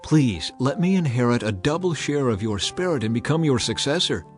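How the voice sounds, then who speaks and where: A man's voice: mid-range voice, expressive, normal speed, soft background music, natural voice. A man voicing an earnest, loyal young disciple. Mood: pleading. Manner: earnest, pleading, raised voice. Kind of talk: dramatized scripture reading.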